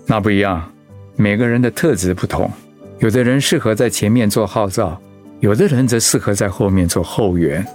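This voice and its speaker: An actor with a Taiwanese accent playing a gentle, ailing pastor and husband in a radio drama, earnest and reflective. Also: male voice, fairly low pitch, moderate pace, soft background music, natural voice. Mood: tender, reflective. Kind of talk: Mandarin radio drama acting.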